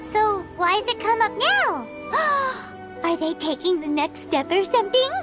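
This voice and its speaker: Squeaky, chirpy little fairy companion. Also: female voice, expressive, a little slow, over loud music. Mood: curious, surprised. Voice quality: squeaky, childlike. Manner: bubbly, exclaiming, refers to self in third person. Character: small chirpy childlike fairy companion, female, excitable. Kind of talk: video game character acting.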